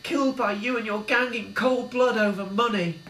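A man's voice: high-pitched, very animated, moderate pace, a bit bright, far from the mic, pitched above the performer's own voice. A man voicing a male cartoonish fruit character who declaims to his guests. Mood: declaiming. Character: Billy, male fruit, party host turned vengeful. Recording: echoey room, faint mains hum.

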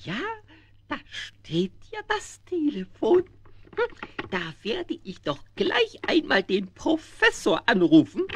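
A man's voice: extremely high pitch, fairly bright tone, a little hiss or hum, heavily acted. A man voicing a bratty, boastful little dinosaur child who plays king, giggly and drawling, in a 1970s children's radio play. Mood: self-important. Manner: gleeful, boastful, giggly, stretching his words.

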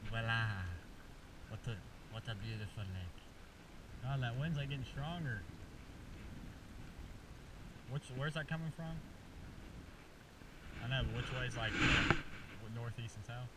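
A man's voice: medium pitch, normal speed, long pauses. A young man chatting casually and slangily while fishing, given to exclamations, recorded outdoors on a small boat. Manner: slangy, exclaiming, talks to the fish. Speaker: fishing vlogger. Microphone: action camera worn on the body. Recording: distant mic, clear outdoor ambient noise.

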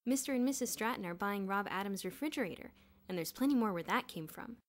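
A woman's voice: medium pitch, lively intonation, fast talker, natural voice. A woman voicing a brash, scheming schoolboy in an audiobook story, eager, emphatic and insistent.

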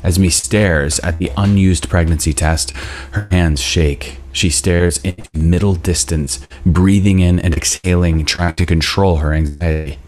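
A man's voice: low voice, steady tone, a little slow, darkish tone. A man in his thirties reading screenplay narration aloud at a table read. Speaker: stage directions reader. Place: indoor room at home, video call.

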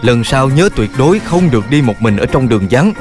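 Casting: male actor as caring cartoon authority figure, mid-pitched man, lively intonation, instructive, over music. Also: a little fast, natural voice. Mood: concerned, instructive. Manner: instructive, admonishing. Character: caring adult male authority teaching children safety. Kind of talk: animated children's cartoon acting. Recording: music under the voice.

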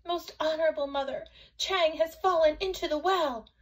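A woman's voice: high voice, normal speed, voice pushed up, lightly acted. A woman voicing an anxious, breathless little boy calling urgently for help in a storybook reading. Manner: panting, urgent, shouting.

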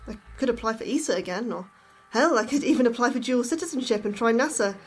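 An actress playing an anxious astrobiologist in a naturalistic audio drama, hesitant and questioning. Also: female voice, fairly high pitch, animated, fast, over music, natural voice. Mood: dejected. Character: anxious woman astrobiologist, a drifting academic. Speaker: actor.

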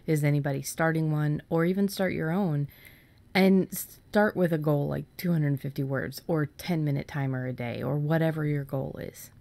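A woman's voice: low voice, moderate pace, gravelly, warm tone, no echo. A woman co-hosting a podcast in a casual, informal, somewhat rambling conversational style. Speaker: podcast co-host. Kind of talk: casual chat.

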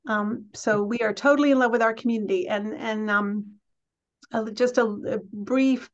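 A woman's voice: a little high, fairly even intonation, normal speed. Middle-aged woman from England, guest speaker on a call: friendly, chatty, filler-heavy, muffled lo-fi sound. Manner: friendly, chatty, many ums and restarts. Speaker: college president, guest speaker. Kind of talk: casual talk on a video call. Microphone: video call. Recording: dull lo-fi video call audio.

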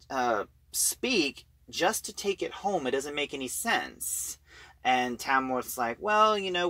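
Slow delivery, medium pitch, casual reviewer, halting, repeated phrases, dry sound. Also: fairly bright tone, dry recording. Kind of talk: casual spoken plot review.

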